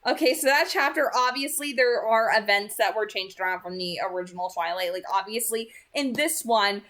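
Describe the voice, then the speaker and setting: A woman's voice: a little high, brisk, fairly bright tone. A woman chatting casually as she gives book commentary, rambling and emphatic, often repeating phrases for stress. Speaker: read-aloud channel host giving commentary.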